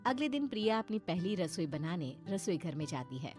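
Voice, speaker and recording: A female story narrator reads a script dramatically. Her pitch is lively, and loud music runs underneath the whole time. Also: normal speed.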